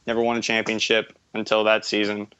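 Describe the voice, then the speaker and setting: A man's voice: lowish voice, monotone, brisk, fairly bright tone, clear, resonant tone, dry recording, low-quality recording. A man in his early twenties co-hosting a casual sports podcast, talking in a rambling, self-correcting, enthusiastic way. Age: early 20s. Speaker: podcast co-host.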